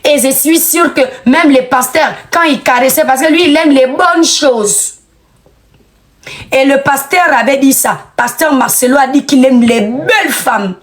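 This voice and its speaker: A woman's voice: high-pitched, measured pace. A woman giving an outspoken commentary monologue, emphatic and scolding, repeating phrases for effect.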